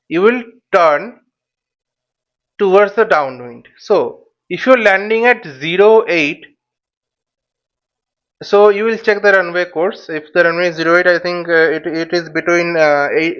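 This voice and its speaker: A man giving an informal step-by-step tutorial as an instructor, speaking haltingly and repeating his words and phrases. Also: sits high, fairly bright tone. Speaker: flight simulation instructor. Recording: dull, lo-fi microphone sound.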